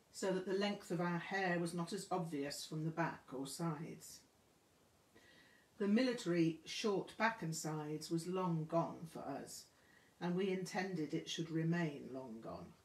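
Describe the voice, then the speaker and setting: A woman reading a memoir aloud as scripted narration, with measured, deliberate phrasing. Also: on the low side. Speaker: audiobook reader. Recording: roomy, echoing room sound.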